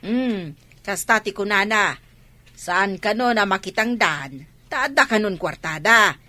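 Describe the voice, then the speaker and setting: A woman's voice: mid-range voice, expressive, a little slow, sharp tone, dry recording. A woman acting a gossipy, mocking warehouse worker in an audio drama. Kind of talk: dialogue in an Ilocano audio drama.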